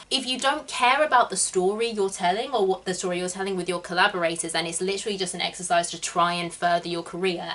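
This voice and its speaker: A young woman hosting a casual chat, explaining her advice with quick asides. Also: lowish voice, a bit bright, low-quality recording. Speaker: host.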